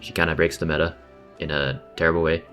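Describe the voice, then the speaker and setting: A man with a low voice comments casually on a game as he streams it. His delivery is offhand and slangy, with a wry edge. Game music plays behind him. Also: level tone, fast, a bit bright. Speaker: livestreamer.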